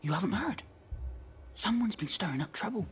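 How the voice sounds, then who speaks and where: A woman acting as a wry fantasy game villager who loves gossip. She speaks in a colloquial, knowing way with very lively intonation, over faint ambient noise. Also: medium pitch, normal speed, lightly acted. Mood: confiding. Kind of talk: scripted video game acting.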